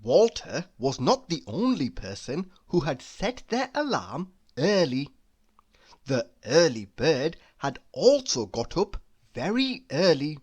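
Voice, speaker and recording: A woman reading a children's story aloud, deliberately and with dramatic emphasis. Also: low-pitched, sing-song, darkish tone, slightly rough. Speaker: storybook reader. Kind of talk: expressive children's read-aloud narration.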